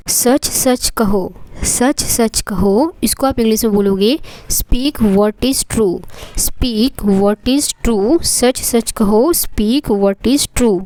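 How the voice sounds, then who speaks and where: Indian woman teaching English, slightly high voice, unhurried drilling, dry close sound. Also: mellow, dry recording, poor audio. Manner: repeats each phrase, says English examples very slowly and deliberately. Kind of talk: Hindi-language English lesson with drilled phrases.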